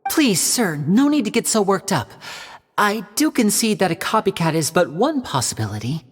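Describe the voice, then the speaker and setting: An actor playing a polite, proud young stage magician who speaks in a smooth, courteous way. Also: male voice, high voice, sing-song, normal speed, full character voice. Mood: earnest. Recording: reverb with game music.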